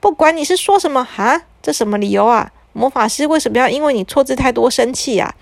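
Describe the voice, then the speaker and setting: A woman's voice: high voice, animated, quick pace, gravelly, dry recording. Woman playing an exasperated scolding mother. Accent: Taiwanese Mandarin. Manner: sharp, demanding.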